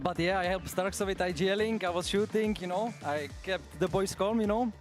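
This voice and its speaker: Man, high voice, pro player, stage interview, music behind. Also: fairly quick. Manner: loose, laughing, leans on "you know". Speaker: pro player giving a post-match interview. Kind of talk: post-match stage interview. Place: on stage in an arena.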